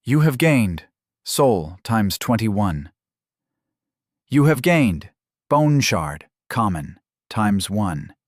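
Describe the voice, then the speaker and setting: A man reading a measured game system voice. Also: lowish voice, very animated, no echo, studio quality, full character voice. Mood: announcing. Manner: measured, announcing. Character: flat game system voice announcing gains.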